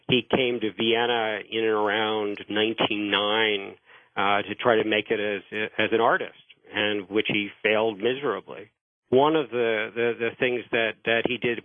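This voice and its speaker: Guy on a tinny phone line explaining history on radio, kinda low voice, keeps stuttering restarts. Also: no room sound. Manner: halting, repeats words as he starts phrases. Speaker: interview guest explaining history. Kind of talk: informal radio interview, storytelling history.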